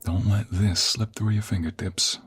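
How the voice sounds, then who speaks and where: A man playing an ageing mobster father in an intimate audio roleplay, weary, stern but softening, speaking in a measured paternal way. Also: fairly low pitch, steady tone. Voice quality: husky, gravelly.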